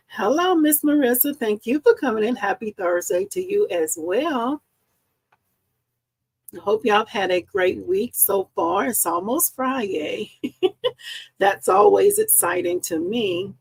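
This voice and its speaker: A woman hosting a live crafting stream from her home craft room, chatty and upbeat, slightly hoarse because she is losing her voice. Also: fairly high pitch, very animated, takes it slowly, pauses often, dry recording, poor audio. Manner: chatty and upbeat, greets viewers by name. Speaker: host and embroidery business owner. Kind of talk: casual live-stream chat. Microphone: live-stream setup.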